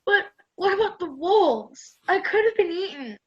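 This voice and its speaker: Woman playing a very high-voiced whiny teenage girl. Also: very animated, normal speed, lo-fi sound. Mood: frightened, pleading. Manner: complaining, dramatic. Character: whiny, self-pitying teenage girl on a camping trip. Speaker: student radio play performer. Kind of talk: amateur radio play acting.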